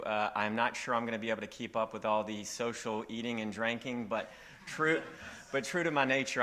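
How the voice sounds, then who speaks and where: Male lecturer, lowish pitch, bright and forceful, easygoing conference delivery. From Virginia, southeastern United States. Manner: relaxed, folksy, frequent "uh" fillers. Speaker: conference speaker.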